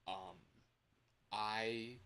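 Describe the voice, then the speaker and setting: A man chatting casually to livestream viewers in an excitable, scattered, playful way, with frequent exclamations. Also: fairly low pitch, drawn-out words, clean tone. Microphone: external microphone.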